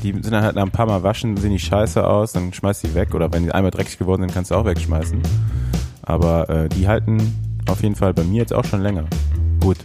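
A man's voice: low-pitched, fairly even intonation, fast talker, gravelly, over music. A former pro cyclist chatting on a podcast, a man who talks in a laid-back way and keeps tagging his sentences with a questioning "right?". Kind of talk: casual podcast chat.

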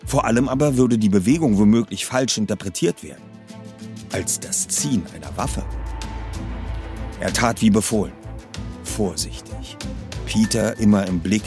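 A man's voice: on the low side, moderate pace, over music. A man reading a novel aloud expressively, shifting his voice for the characters. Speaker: reader of a novel.

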